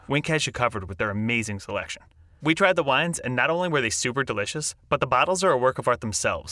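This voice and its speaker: A man's voice: mid-range voice, pushed and bright, no echo, studio quality. A man reading a scripted podcast sponsor advertisement.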